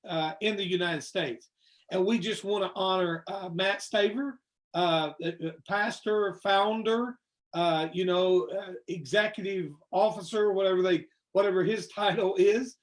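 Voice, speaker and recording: A man hosting an interview, talking casually and rambling with many fillers and restarts. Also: high voice. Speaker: host.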